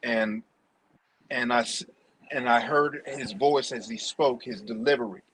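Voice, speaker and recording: A man from New Jersey, a poet guest telling his story deliberately and repeating phrases for emphasis. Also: medium pitch, fairly bright tone, slight background noise, rough recording. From New Jersey, United States. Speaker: podcast guest, spoken word poet. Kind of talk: personal storytelling in an interview.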